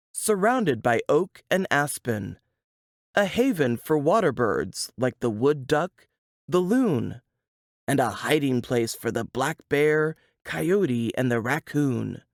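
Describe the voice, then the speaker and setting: A woman reading a suspense novel aloud in a dramatic, deliberate storytelling manner. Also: extremely low pitch, darkish tone, no room sound, studio quality. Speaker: author reading from their novel.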